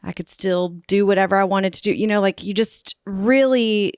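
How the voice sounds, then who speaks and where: A woman's voice: mid-range voice, normal speed, a little mellow, clean tone, dry recording. A woman in her thirties hosting a podcast, chatty and self-deprecating. Her voice sits mid-range and sounds dry and close. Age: 30s. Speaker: podcast host. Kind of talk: casual chat.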